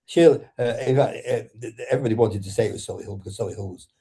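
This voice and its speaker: A man's voice: medium pitch, rapid-fire, crowd noise. A man in his eighties from Lancashire, a guest author speaking in a casual interview with a northern English accent, often hesitating and restarting. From Lancashire, England. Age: 80s. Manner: hesitant, many ums and restarts.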